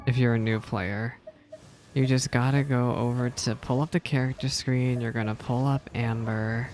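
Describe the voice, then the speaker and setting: A woman's voice: a bass voice, darkish tone. A woman streaming her casual live reactions to a game, commenting as it plays.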